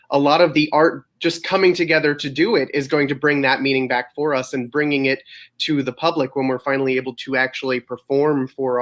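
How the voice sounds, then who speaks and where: Thoughtful man with a medium-pitched voice. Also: a bit bright. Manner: thoughtful, earnest. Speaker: chorus artistic director. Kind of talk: conversational discussion.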